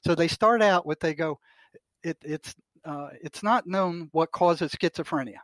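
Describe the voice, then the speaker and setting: A man's voice: on the high side, unhurried, no room sound, low-quality recording. An older man, a retired psychotherapist and podcast guest, talking bluntly and indignantly, with emphasis and some mild swearing. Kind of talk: opinionated discussion, quoting articles aloud.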